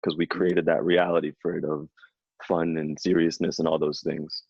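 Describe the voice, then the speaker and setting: Deep-voiced man giving a spiritual reading. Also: level tone, gravelly, a little mellow, breathy edge, no echo. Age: 30s. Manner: slow, soothing, affirming delivery. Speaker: spiritual coach. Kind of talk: guided channeled reading, then casual chat. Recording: dull lo-fi sound.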